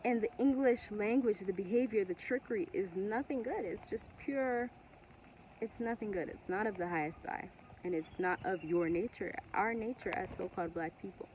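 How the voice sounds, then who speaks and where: A woman from Togo whose first language is Ewe, talking earnestly and passionately to camera with many pauses and restarts. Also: medium pitch, darkish tone, muffled recording, slight background noise. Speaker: vlogger. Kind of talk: casual monologue to camera.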